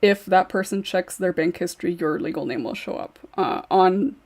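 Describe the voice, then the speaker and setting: A woman moderating a casual podcast chat as host, speaking with a husky, gravelly voice. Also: fairly low pitch, normal speed, a little mellow.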